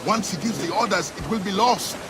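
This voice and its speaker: An actor playing a loyal, earnest first mate on a pirate ship in a dramatic video game. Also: male voice, sits high, a little fast, noisy background, close to an everyday voice. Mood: steady, earnest.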